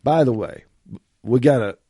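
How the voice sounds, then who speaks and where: A man from rural small-town America hosts a podcast, talking with a stuffy nose. His voice is mellow and dark, and he talks in a folksy, rambling, laugh-prone way. The studio sound is close and dry. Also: mid-range voice, normal speed, slightly rough, no room sound. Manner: folksy, talkative, laughs often. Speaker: podcast host. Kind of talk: casual interview chat.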